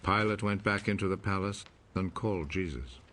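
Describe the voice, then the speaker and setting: A man's voice: sits low, normal speed, a little hiss or hum. A man giving scripted scripture narration for a film, in a solemn storytelling manner. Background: loud film score.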